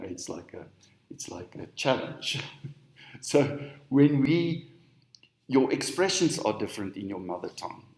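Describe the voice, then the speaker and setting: Low-voiced man, Afrikaans speaker, slow emphatic sermon, echoey room. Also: darkish tone. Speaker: spiritual teacher. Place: on stage in a hall.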